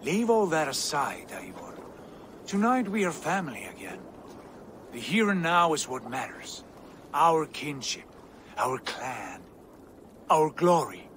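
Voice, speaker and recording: Slow, proud Viking prince. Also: male voice, fairly high pitch, big pitch swings, fairly bright tone, a little hiss or hum, full character voice. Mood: warm, ambitious. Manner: grand, deliberate, commanding. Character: proud, ambitious Viking warrior prince, hungry for glory. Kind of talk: dramatic video game acting.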